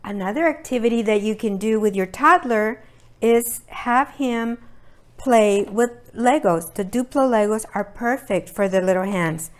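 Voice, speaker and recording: A middle-aged woman giving a demonstration for parents as an early childhood educator, speaking in simple, deliberate phrases with short pauses. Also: on the high side. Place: indoors at a table by a brick fireplace.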